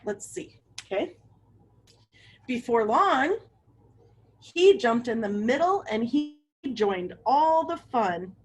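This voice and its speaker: A woman's voice: on the high side, very animated, takes it slowly, long pauses. A woman reading a picture book aloud to children, with chatty asides and questions to her young listeners. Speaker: read-aloud storyteller for children.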